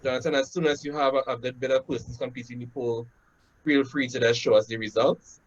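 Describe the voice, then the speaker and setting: A man from Trinidad and Tobago with a Trinidadian accent welcomes people to a webinar over a video call. He speaks at a middle pitch in a loose, chatty way, with fillers and "right?" tags. The sound is dull and lo-fi, as from a laptop. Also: fairly even intonation, brisk. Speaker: webinar host. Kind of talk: casual webinar introduction. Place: home room, indoors. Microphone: laptop webcam on a video call.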